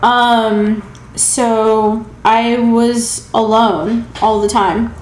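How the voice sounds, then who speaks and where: A woman's voice: on the high side, steady tone, a little hiss or hum. A young woman with an American accent rambles hesitantly through a casual personal vlog, recorded at home on a device held at arm's length. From South Carolina, United States, originally Pakistan. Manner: hesitant, rambling, halting with long pauses. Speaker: vlogger. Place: small indoor room at home with shelves. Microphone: camera or phone at arm's length. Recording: echoey small room.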